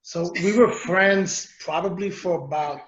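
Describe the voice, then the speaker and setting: A man's voice: high voice, unhurried, roomy sound, dull audio. A man from North New Jersey, a guest speaking candidly and joking a little about meeting his wife. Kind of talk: casual chat.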